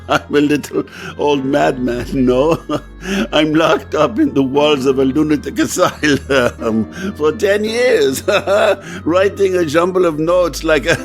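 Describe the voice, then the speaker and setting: An actor playing a tormented amnesiac composer in an asylum in a radio drama, halting and theatrical. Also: male voice, on the high side. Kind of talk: old-time radio drama acting.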